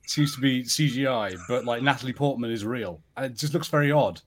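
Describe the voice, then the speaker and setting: A man's voice: mid-range voice, brisk, a little hiss or hum. Man musing critically in mid-range voice. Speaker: podcast panelist. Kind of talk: casual film commentary among friends.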